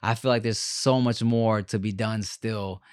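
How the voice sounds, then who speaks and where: A man's voice: lowish voice, steady tone, measured pace, clear, resonant tone, no room sound, studio quality. A man in his thirties hosting a podcast in loose, profane casual chat, full of "you know" and "like" fillers. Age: 30s. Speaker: podcast host.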